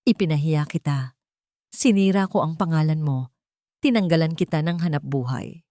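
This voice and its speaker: A woman with a Filipino accent voicing a proud male CEO, now humbled, pleading haltingly in a dramatic story. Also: low-pitched, dark tone, no room sound, clean studio sound, lightly acted. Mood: remorseful, pleading. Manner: halting, repeating phrases in pleading.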